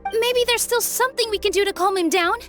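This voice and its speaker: A woman voicing a tiny, childlike fairy companion in an anime game, squeaky, eager and naive. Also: fast, fairly bright tone, faint music behind. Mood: pleading, hopeful. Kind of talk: cute anime game voice acting.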